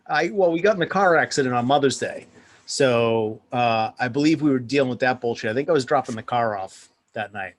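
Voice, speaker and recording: A man's voice: medium pitch, fairly quick. A man co-hosting a podcast, introducing a guest in a loose emcee style. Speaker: podcast co-host. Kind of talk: casual chat, introducing a guest.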